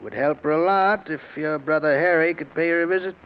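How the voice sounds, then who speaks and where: Male actor as kindly country doc, American accent, raised pitch, matter-of-fact, slightly hissy vintage radio recording. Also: brisk, close to an everyday voice. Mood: concerned. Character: kindly, practical country doctor advising a family. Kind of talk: old-time radio drama acting. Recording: muffled old radio recording with hiss.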